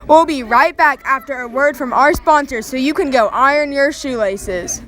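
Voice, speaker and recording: A woman's voice: high voice, moderate pace, fairly bright tone. A woman hosting a scripted 1920s-themed radio show, reading announcements full of period slang.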